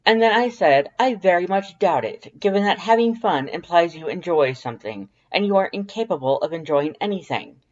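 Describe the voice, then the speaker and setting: Theatrical male skeleton for audio fiction one reader putting him on: pompous, formal, loud, raised pitch, muffled recording. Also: animated, normal speed, few pauses, lightly acted. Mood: smug, declaiming. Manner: pompous, declamatory, formal wordy diction.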